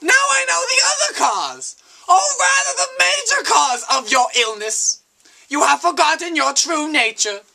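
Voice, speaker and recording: Stern female spirit of wisdom, acted by a woman, high-pitched, bright, theatrical, declamatory, lofty teacher, philosophical stage dialogue. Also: low-quality recording. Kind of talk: dramatic reading of a philosophical dialogue.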